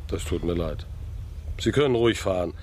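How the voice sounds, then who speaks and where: An actor playing a middle-aged, respected farm owner, calm and courteous, in a naturalistic radio drama. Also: male voice, low voice, a little fast, breathy edge. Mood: calm, friendly. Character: respected, kindly, self-assured Kansas farm owner, a family man.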